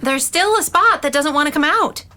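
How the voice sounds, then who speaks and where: A woman's voice: sits high, expressive, normal speed, sharp tone. An actress playing an anxious, exacting, well-meaning middle-aged mother, earnest and insistent, in an audio drama. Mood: fussy. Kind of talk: naturalistic audio drama dialogue.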